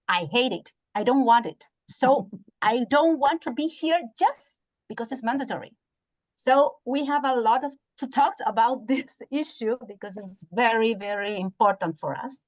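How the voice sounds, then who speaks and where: A woman from Chile with a Chilean accent and native Spanish, giving halting feedback as a university educator on a video call, searching for words. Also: on the high side, rough recording. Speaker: university educator, audience member commenting. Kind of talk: spoken feedback in an online academic discussion.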